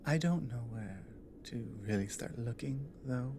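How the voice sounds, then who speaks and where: A woman playing a guarded but loyal female orc warrior in an ASMR roleplay, speaking in a halting, earnest, plainly worded way. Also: very deep voice, lively intonation, background noise. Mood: earnest, tender.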